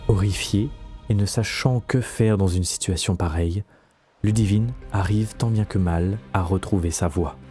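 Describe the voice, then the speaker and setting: Deep, mellow male storyteller. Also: normal speed. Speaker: narrator. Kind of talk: scripted fantasy story narration. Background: faint music.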